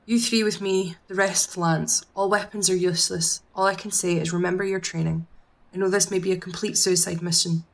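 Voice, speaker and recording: A woman's voice: fairly low pitch, creaky. A woman playing a tough, no-nonsense task force leader in an amateur audio drama, businesslike and commanding.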